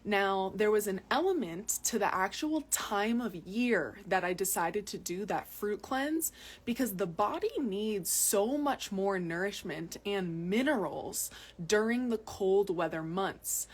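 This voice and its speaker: A woman's voice: mid-range voice, lively intonation, unhurried. A young adult woman from Massachusetts, chatting casually to viewers in a live video recorded outdoors on a phone, often repeating words for emphasis. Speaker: health vlogger. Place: outdoors in cold mountains.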